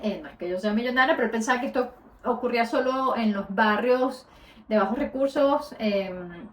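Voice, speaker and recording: Host latinoamericana, voz más bien aguda, narración personal con titubeos. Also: female voice, on the high side, moderate pace, reverberant. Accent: Latin American Spanish. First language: Spanish. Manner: conversational, with frequent hesitations. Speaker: podcast host. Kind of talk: personal storytelling.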